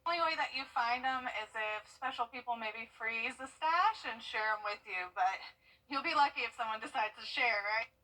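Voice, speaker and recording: Woman, interview soundbite, bright voice, slightly high, off-mic. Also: normal speed, distant mic, poor audio. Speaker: community engagement manager.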